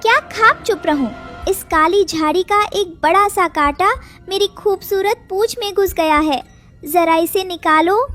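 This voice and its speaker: A woman's voice: very high-pitched, a little slow, pushed and bright, faint music behind, heavily acted. A woman voicing a jealous, vain, complaining female crow in a children's cartoon story. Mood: nagging. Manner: complaining, scornful.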